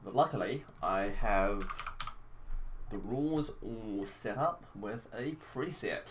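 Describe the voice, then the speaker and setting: A man's voice: fairly low pitch, expressive, takes it slowly. A man running a game tournament, talking in wry, casual commentary. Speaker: tournament organizer. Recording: faint background noise.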